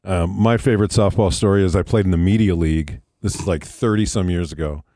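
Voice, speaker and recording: A man's voice: low voice, fast talker, mellow, no room sound, clean studio sound. A man hosting a radio show, easygoing and laughing along as he tells a story. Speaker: radio host. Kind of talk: casual radio interview.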